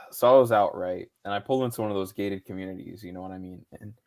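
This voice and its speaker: A young man co-hosting a podcast, telling a casual, slangy story with lots of swearing, his voice going hoarse. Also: deep voice, fast, darkish tone. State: losing his voice. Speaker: podcast co-host. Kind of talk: casual chat.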